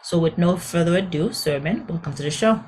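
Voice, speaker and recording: A woman's voice: lowish voice, brisk, darkish tone, low-quality recording. A woman from Haiti hosting a podcast interview, upbeat and encouraging. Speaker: host.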